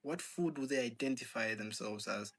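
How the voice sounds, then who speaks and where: A man of ordinary, middle pitch speaks in a loose, unscripted monologue. He wanders from point to point, stacking rhetorical questions and filling gaps with "like" and "um.". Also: moderate pace, fairly bright tone. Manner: rambling with many rhetorical questions and filler "like". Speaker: channel host. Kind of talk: casual opinion monologue.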